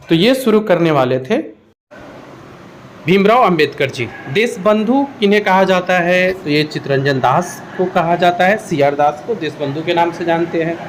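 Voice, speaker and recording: A man's voice: sits high, slight background noise, poor audio. A man with an Indian accent teaching an exam revision lesson, posing questions and then answering them in an instructional way. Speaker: history teacher.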